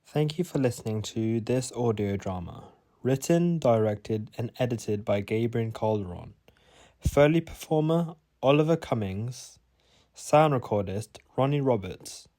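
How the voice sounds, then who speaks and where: A man with a mellow, dark voice at a middle pitch reads closing credits. The delivery is a scripted announcement. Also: animated, a little slow.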